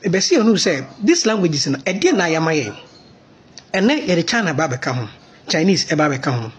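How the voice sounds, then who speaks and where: A man's voice: a little high, very animated, moderate pace, slightly rough. A Ghanaian man with a Ghanaian accent giving an impassioned commentary monologue, mixing Twi and English.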